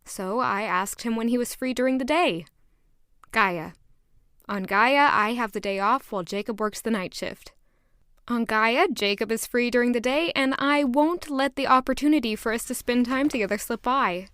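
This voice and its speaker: A woman's voice: a little high, normal speed, fairly bright tone, smooth, dry recording, studio quality, close to an everyday voice. An actress narrating as a young female castle maid, in an earnest, introspective first-person way. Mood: reflective. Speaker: narrator and lead character.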